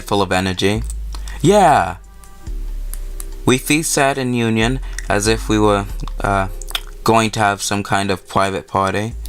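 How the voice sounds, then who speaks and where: A man hosting a gaming video, reading a visual novel aloud and adding casual, informal asides. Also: fairly low pitch.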